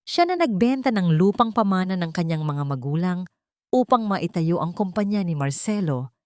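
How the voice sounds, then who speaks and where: A woman's voice: fairly low pitch, a little mellow, dry recording, clean studio sound. A woman narrating a scripted love story in a dramatic storytelling style.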